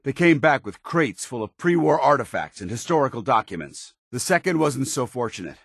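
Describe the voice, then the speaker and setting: An actor playing a stern, loyal military officer in a video game, speaking with formal, earnest, clipped diction. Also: male voice, medium pitch, sing-song, brisk, creaky, husky, no echo, clean studio sound, heavily acted. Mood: grave, briefing. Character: stern, loyal, dutiful male military officer in power armor. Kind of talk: video game companion dialogue.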